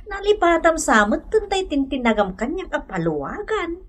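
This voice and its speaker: A friendly Filipino stallholder with an Ilocano accent. Her high voice moves in big, lively swings, chatty and kind, in the manner of a radio drama. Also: normal speed. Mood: concerned, friendly.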